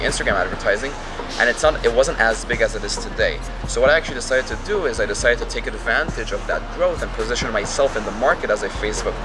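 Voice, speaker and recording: Fast-talking young man, music behind. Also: fairly low pitch, poor audio. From Israel. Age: 20s. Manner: fast, confident explaining with fillers. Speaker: guest, marketing entrepreneur. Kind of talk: casual outdoor interview. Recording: music playing loud behind.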